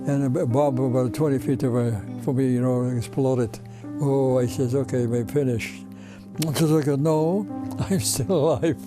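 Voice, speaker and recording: Very old Ukrainian-accented man, halting English. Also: medium pitch, fairly even intonation. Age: over 90. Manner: halting, repetitive broken English. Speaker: interviewee, Holocaust survivor. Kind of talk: personal recollection. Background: instrumental music.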